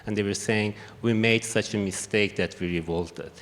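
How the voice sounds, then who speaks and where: A man with a Persian accent speaking on a conference panel, halting, with many restarts and fillers. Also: fairly low pitch. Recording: echoey hall with faint noise.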